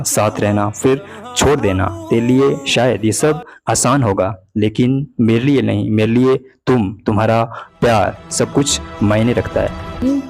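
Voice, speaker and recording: A man's voice: lowish voice, vocal fry, a little mellow. A young man playing a hurt, jealous boyfriend, reproachful and pleading in a dramatized breakup talk. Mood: wounded, pleading. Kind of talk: dramatized breakup phone conversation in Hindi. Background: background music.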